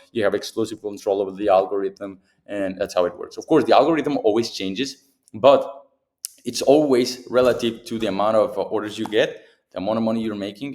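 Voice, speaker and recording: A man's voice: low voice, darkish tone. A young man from Mexico, a native Spanish speaker with a Mexican accent, casually explaining freelancing to camera, rambling and repeating himself with many fillers. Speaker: freelancing coach. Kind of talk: casual tutorial talk to camera. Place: abroad in Bali.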